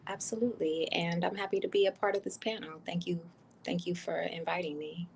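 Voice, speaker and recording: A woman's voice: lowish voice, fairly even intonation, fairly quick, creaky, a little hiss or hum. A woman from Oakland, a mother speaking as a panel guest on a video call, polite and unassuming with many fillers. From Oakland, California. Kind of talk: casual panel introduction.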